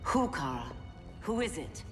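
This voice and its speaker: A woman's voice: deep voice, big pitch swings, measured pace. An actress playing a noble warrior woman in a video game, speaking with grave concern. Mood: alarmed. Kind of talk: video game cutscene acting. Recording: music underneath.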